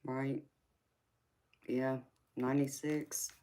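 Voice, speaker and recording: A woman in her thirties, with a very deep, low voice, unhurried and relaxed as she reads viewer comments aloud. She laughs often and calls people honey, and a faint hiss sits under her voice. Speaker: tarot reader chatting with live viewers. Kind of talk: casual live-stream chat reading comments.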